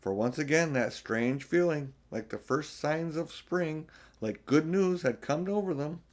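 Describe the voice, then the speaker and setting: A man's voice: a little high, unhurried. Man reading a storybook aloud. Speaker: audiobook reader. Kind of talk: storybook narration.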